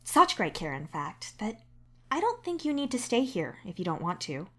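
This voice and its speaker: A woman voicing a friendly alien doctor in a podfic, measured, professional and reassuring. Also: mid-range voice, big pitch swings, pitched above the performer's own voice. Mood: warm, reassuring.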